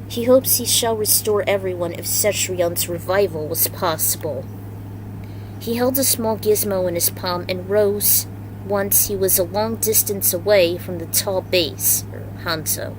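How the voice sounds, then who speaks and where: A woman's voice: medium pitch, measured pace, background noise. A woman reading a dramatic fantasy story aloud as its narrator, in an amateur recording. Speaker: fan fiction reader. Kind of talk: story narration with character voices.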